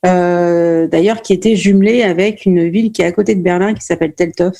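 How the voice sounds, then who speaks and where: A middle-aged woman from Normandy in France, a playwright and stage director answering interview questions thoughtfully, with many pauses and restarts. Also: fairly low pitch, steady tone, vocal fry, warm tone.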